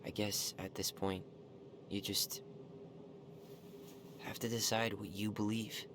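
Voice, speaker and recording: A man's voice: fairly low pitch, flat delivery, takes it slowly, noisy background. An actor playing an earnest, supportive young man in a video game, speaking frankly and casually. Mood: firm, earnest. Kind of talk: naturalistic video game cutscene acting.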